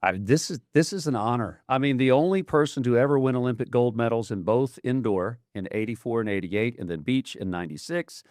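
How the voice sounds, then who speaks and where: A man's voice: mid-range voice, fairly quick, hardly any pauses, a little mellow, no room sound, clean studio sound. A man from Atlanta hosting a TV interview, asking chatty, enthusiastic questions. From Atlanta, United States. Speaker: news host.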